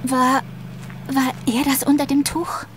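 A woman's voice: sits high, little pitch movement, a little slow, noisy background. A young woman playing a shaken paid companion in a German radio drama, anxious and fragile in her delivery. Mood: alarmed. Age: young adult.

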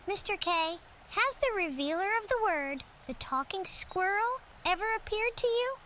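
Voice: extremely high pitch, expressive, moderate pace, bright, forceful tone, slight background noise, full character voice. A performer playing an earnest, inquisitive young boy in an audio drama. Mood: curious.

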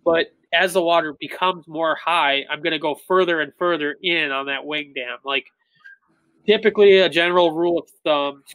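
A man in his thirties, a tournament bass angler, explaining things in a relaxed way full of "like" fillers over a video call. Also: normal speed, clear, resonant tone, no echo, low-quality recording. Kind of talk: casual interview talk. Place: indoor room with shelves of tackle. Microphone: webcam on a video call.

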